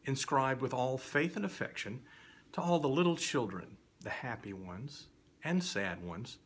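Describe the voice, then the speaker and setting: Man, middle-aged, dramatic children's poetry recitation, husky edge, slow deliberate pace, spooky expressive delivery. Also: mid-range voice. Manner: expressive, spooky, swinging between hushed whispers and loud cries. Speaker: storyteller reading children's poetry.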